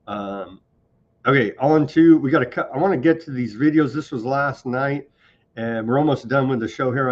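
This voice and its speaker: A man hosting a political commentary show, talking in a sarcastic, conversational way. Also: medium pitch. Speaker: host. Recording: dull lo-fi sound.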